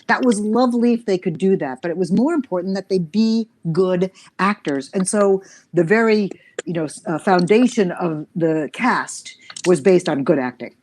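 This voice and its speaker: A woman's voice: on the low side, normal speed, hardly any pauses, a little mellow. An older woman chatting and reminiscing casually, with an affectionate, emphatic way of talking. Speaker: voice director. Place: remote video call. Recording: noisy video call audio with clicks.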